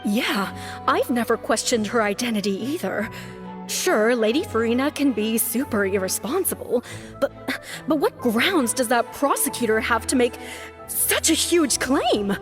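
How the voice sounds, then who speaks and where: Skeptical, loyal female citizen for an anime game: highish voice over clear music. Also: lively intonation, a little slow. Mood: doubtful. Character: doubtful, loyal female citizen watching a trial.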